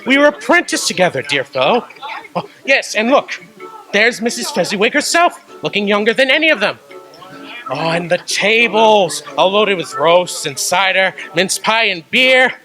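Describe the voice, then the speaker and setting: A man acting out an aging miser in a radio play, his bright voice swinging widely in pitch. He halts and wavers as he moves between grief and fond delight. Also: big pitch swings, moderate pace, faint music behind, exaggerated voice, poor audio. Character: old miser man, remorseful, reliving his youth.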